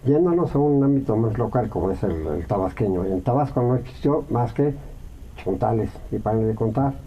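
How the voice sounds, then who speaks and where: A Mexican man speaks as a guest on a radio interview, thinking aloud and weighing each point. His voice sits at an ordinary pitch. The recording sounds a little dull, with a faint hiss underneath. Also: animated. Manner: reflective, thinks aloud with many pauses and fillers.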